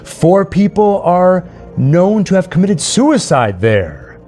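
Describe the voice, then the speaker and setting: A man playing a breezy, teasing 1940s radio disc jockey who hypes a haunted-house stunt with drawn-out, showy emphasis. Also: high voice, sing-song, mellow, faint music behind, pitched above the performer's own voice, exaggerated voice. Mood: excited, teasing. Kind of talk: classic radio play acting.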